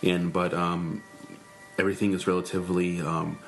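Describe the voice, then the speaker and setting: A man co-hosting a podcast, chatting casually and haltingly with frequent pauses. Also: sits low, flat delivery, gravelly, fairly bright tone, soft background music. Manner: halting, lots of um and uh. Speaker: podcast co-host.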